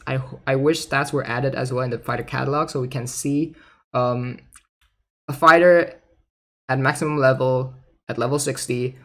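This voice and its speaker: A man's voice: mid-range voice, fairly bright tone. A man giving casual commentary as he reads out game news, informal and thinking aloud. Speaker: gaming content creator. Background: background music.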